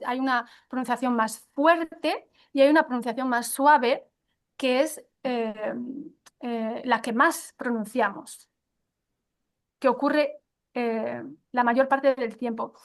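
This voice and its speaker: High-voiced woman, hesitant teacher talk. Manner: hesitant, many fillers and restarts. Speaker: guest, pronunciation teacher. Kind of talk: conversational interview answers.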